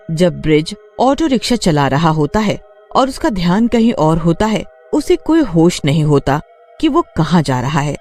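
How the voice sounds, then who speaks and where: A woman's voice: fairly low pitch, a little mellow. A woman giving scripted narration of a cartoon folk tale.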